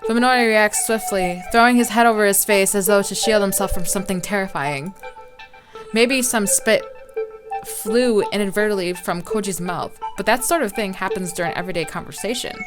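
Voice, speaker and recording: A woman's voice: mid-range voice, a little fast. A woman hosting a let's play, reading a visual novel aloud informally, with some stumbles and game music behind her.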